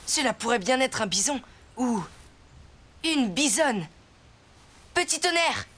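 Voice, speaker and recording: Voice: high-pitched, big pitch swings, slow pace, sharp tone, full character voice. A performer voicing an earnest, determined and stubborn young Sioux boy in a French cartoon dub. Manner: earnest, spirited. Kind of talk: French animated series dubbing.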